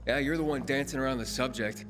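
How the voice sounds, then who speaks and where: A man's voice: medium pitch, quick pace, background noise, natural voice. An actor playing a wary, blunt and indignant young male survivor in a video game, with music behind him.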